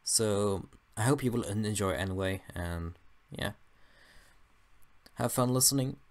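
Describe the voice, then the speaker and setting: A man's voice: on the low side, measured pace, noisy background. A man reading a fan fiction story aloud in first person, hesitant and stumbling at times. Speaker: amateur story reader.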